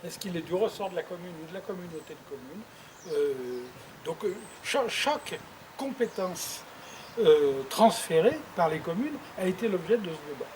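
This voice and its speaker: A man giving reflective interview testimony as a local official, halting and often repeating words as he searches for the next one. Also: sits high, lively intonation, a little mellow. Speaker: former president of an intercommunal council, interviewed. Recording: clearly noisy background ambience.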